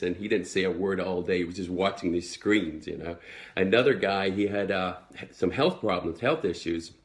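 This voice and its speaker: A Swedish man in his twenties with an American accent, talking earnestly and motivationally to followers in a live video, with many fillers. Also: low-pitched. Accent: American, learned in the United States. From Sweden. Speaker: network marketing entrepreneur.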